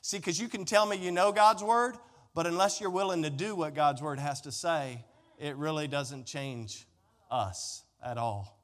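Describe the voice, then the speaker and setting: High-pitched male preacher in a reverberant hall. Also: measured pace. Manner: emphatic, repeats phrases, folksy direct address to the congregation. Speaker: pastor. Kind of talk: sermon preaching. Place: large indoor room, preaching to a congregation. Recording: reverberant hall with long echo.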